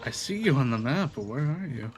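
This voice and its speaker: A man's voice: medium pitch, animated, a little fast, faint music behind. A man giving casual, playful commentary as he streams a video game, often exclaiming and talking to the characters.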